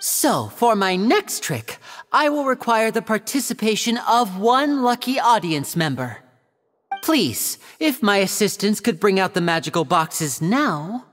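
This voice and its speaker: A showy young male magician in a video game. The delivery is animated and theatrical, courteous and a little teasing, with faint music underneath. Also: medium pitch, a little slow, long reverb tail. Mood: showy, gracious. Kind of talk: video game character acting, stage patter.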